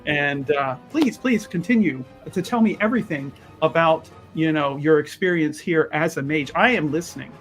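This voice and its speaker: A man's voice: on the high side, a bit bright, faint music behind, natural voice. A man playing a courteous, inquisitive and very wordy scholarly visitor in an improvised tabletop roleplaying game. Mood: earnest, effusive. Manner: verbose, flowery, halting.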